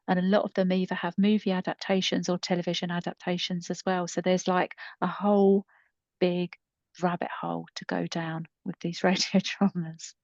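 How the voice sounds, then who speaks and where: A woman's voice: lowish voice, a little mellow, no echo, muffled recording. A middle-aged woman co-hosting a podcast in casual, unscripted chat, explaining things conversationally. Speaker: podcast co-host and storytelling creator.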